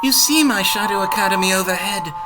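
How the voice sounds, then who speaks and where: A man's voice: high voice, expressive, brisk. A man voicing a smug, mocking fallen-knight villain with a sneering, theatrical delivery in a dramatic audiobook reading. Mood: smug, tempting. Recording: loud music behind the voice.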